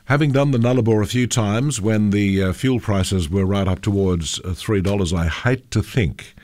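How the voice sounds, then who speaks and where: An Australian man hosting breakfast radio with a croaky voice from a cold, easygoing and chuckling often. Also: fairly low pitch, normal speed, darkish tone, no room sound. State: has a cold. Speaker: radio presenter. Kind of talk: breakfast radio chat and weather. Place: radio studio. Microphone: studio microphone.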